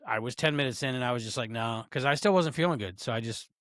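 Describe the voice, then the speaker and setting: A man's voice: medium pitch, fast, fairly bright tone, dry recording, studio quality. A man co-hosting a podcast, chatting casually with a playful manner. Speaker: podcast co-host. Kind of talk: casual chat.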